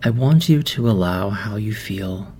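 A man guiding a meditation in a slow, soft, soothing voice with long pauses. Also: lowish voice, expressive, slight background noise. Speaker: meditation guide. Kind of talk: guided meditation. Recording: music underneath.

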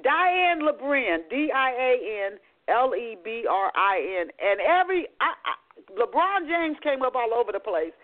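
A woman hosting a book talk radio show, chatty and animated, often restarting her sentences. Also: on the high side, unhurried. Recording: tinny phone-line audio.

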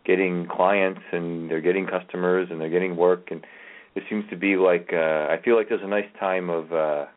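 A man's voice: sits low, steady tone, normal speed. A man chatting casually on a radio network, rambling and trailing off with hesitations. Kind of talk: casual chat. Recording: phone-line radio call.

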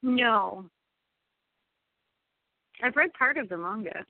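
A woman's voice: on the high side, expressive, slow. An American woman chatting casually and giving emphatic opinions, repeating phrases for stress. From United States. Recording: thin phone-like audio.

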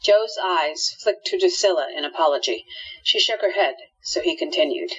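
A woman's voice: fairly low pitch, lively intonation, moderate pace, fairly bright tone, breathy edge, lo-fi sound. A woman reading scripted narration as the narrator of a radio play.

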